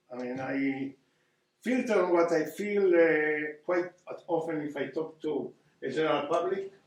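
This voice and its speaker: An older man from Argentina with an Argentine Spanish accent gives an earnest public lecture, often hesitating and repeating words as he searches for them. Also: a little high. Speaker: guest speaker. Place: community hall. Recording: echoey hall, distant mic.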